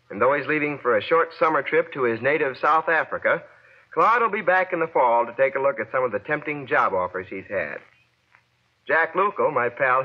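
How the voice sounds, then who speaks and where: A young man reading a scripted news roundup as a guest correspondent on 1940s radio. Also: medium pitch. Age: young adult. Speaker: guest correspondent, former child quiz contestant. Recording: dull lo-fi vintage 1940s radio broadcast.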